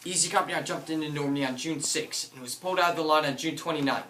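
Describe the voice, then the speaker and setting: A man's voice: fairly high pitch, rapid-fire, pushed and bright. A man playing a dutiful, battle-tested American paratrooper officer, declaiming his memories of combat in a theatrical war drama. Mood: solemn, proud. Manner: declamatory, bellows battle orders. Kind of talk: theatrical monologue and dialogue. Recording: echoey room from far off, noisy.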